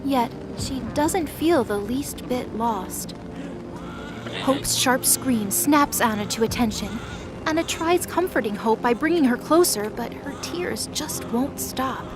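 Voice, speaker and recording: High-voiced woman narrating a dramatic story. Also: unhurried. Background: music.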